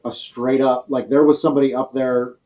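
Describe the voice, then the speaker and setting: A man's voice: mid-range voice, flat delivery, a little fast, mellow. An American man from the Pittsburgh area, a band member talking casually with a wry, joking manner. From Pittsburgh area, United States. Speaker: band member, interview guest. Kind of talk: casual chat.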